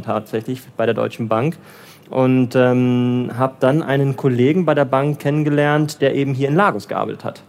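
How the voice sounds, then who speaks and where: A man's voice: mid-range voice, a little mellow. Hesitant German man, lots of fillers. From Germany, raised in Bavaria. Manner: hesitant, many fillers and restarts. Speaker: interviewee, development finance professional in Lagos. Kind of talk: casual interview answers.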